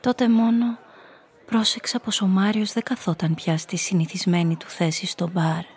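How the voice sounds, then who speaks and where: A woman's voice: mid-range voice, level tone, darkish tone, husky, a faint crowd. An actress playing a cool, calculating nightclub hostess, telling her story and speaking her lines in a composed, knowing radio drama style. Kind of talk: first-person noir radio play narration and dialogue.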